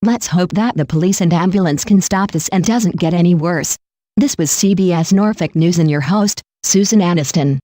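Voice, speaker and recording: A woman plays a concerned local news host in a mock TV broadcast. Her voice is fairly low and very mellow, polite and formal, recorded dry. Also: moderate pace, no room sound, lightly acted.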